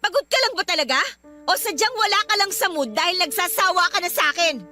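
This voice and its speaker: An actress playing a bold young wife who speaks in a teasing, insistent way in a radio drama, with faint music behind. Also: female voice, extremely high pitch, expressive, quick pace, bright, forceful tone, full character voice. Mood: indignant. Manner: teasing and insistent, then indignant. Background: background music.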